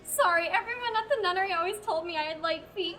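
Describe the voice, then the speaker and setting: A woman's voice: extremely high pitch, quick pace, very noisy. A teenage actress playing a naive, flustered young scribe raised in a nunnery, rambling and stumbling over her words. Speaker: student actor. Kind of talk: amateur radio play acting.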